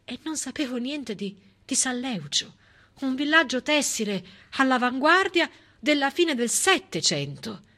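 A woman delivering a theatrical monologue in an expressive, emphatic way, with conversational asides. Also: sits high, a little slow. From southern Italy. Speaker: stage actress performing a monologue. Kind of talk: theatrical civic monologue, storytelling.

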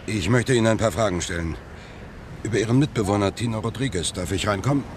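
A stern police detective played by a male actor in a radio drama. His voice sits deep, his is formal and matter-of-fact, and a faint ambience runs behind him. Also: fast, noisy background, lightly acted. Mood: businesslike, grave.

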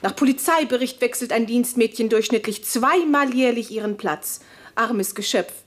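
A woman's voice: on the high side, moderate pace, lightly acted. An actress playing a critical, articulate woman making an ironic formal argument in a radio play. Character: critical, ironic woman speaker defending servant girls.

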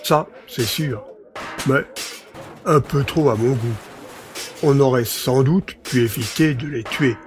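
A man plays an old soldier in an audio drama. He speaks slowly and deliberately, understated and scrupulous, over faint music. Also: mid-range voice, a little mellow, lightly acted. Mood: dry disapproval. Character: old soldier, male sergeant, measured, disapproving, scrupulous.

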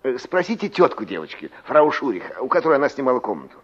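A man's voice: medium pitch, sing-song, quick pace, a bit bright. An actor playing a folksy, helpful village man in a radio play, with a resigned, tolerant way of talking. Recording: dull old radio drama recording.

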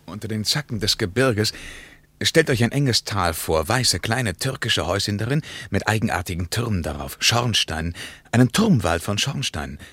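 Deep-voiced, animated reminiscing war veteran. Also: male voice, breathy edge, no room sound. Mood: rapt, reminiscing. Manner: vivid, reminiscing, pausing to search memory. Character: male former war courier, rapt in exotic memories. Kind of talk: radio drama storytelling.

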